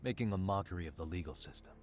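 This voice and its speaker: A man's voice: sits low, racing, slight background noise, natural voice. An actor voicing a blunt, sardonic male detective and lawyer in a video game, with dry, matter-of-fact delivery.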